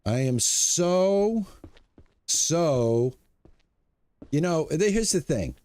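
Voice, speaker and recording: A man's voice: fairly high pitch, expressive, extremely slow, a little mellow, dry recording. A man in his sixties chatting casually to his viewers as he streams a farming game, upbeat and talkative. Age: 60s. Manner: chatty, upbeat, talks to chat. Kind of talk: casual live-stream commentary.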